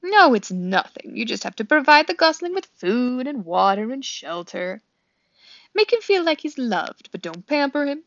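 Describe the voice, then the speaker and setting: A woman voicing an old gray goose, female, brisk, blunt and suspicious, squawking as she scolds and advises. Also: high voice, very animated, fairly bright tone, no echo, muffled recording, pitched above the performer's own voice, heavily acted. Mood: brisk, advising. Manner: scolding, blunt, practical advice-giving.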